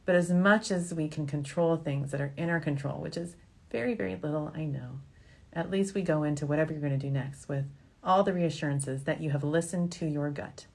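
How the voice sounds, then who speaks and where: Female medical show host, low register, frank and reassuring, chatty advice to viewers. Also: a little fast, creaky. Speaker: fertility doctor hosting a question-and-answer show. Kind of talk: informal advice talk, answering viewer questions.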